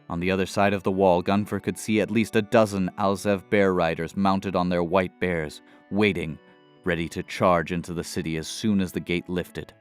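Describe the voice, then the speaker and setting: Deep, smooth male fantasy narrator. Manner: dramatic, measured storytelling. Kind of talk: scripted fantasy audio narration.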